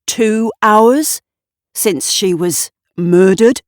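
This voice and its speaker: A woman narrator acts out a composed professional man in an audio story. The delivery is measured and pointed, clipped and slightly theatrical, in a dry close recording. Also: mid-range voice, no room sound, voice pushed up.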